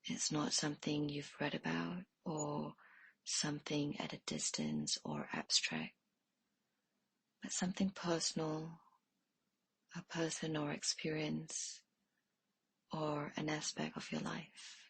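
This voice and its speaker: A woman leading a guided meditation in a soft, hushed way, leaving pauses between phrases. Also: low-pitched, expressive, creaky, breathy edge, no room sound.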